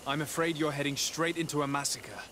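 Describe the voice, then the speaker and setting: A man playing a calm, courteous noble envoy in a video game, speaking in a measured, formal, conciliatory way. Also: a little high, slight background noise. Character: calm diplomatic male legate, courteous young noble envoy. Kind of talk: scripted video game cutscene dialogue.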